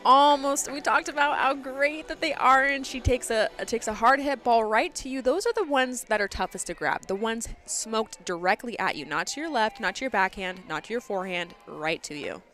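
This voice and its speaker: A woman with an American accent giving live analysis as a color commentator on a softball broadcast. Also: a little high, expressive, normal speed, hardly any pauses, soft background music.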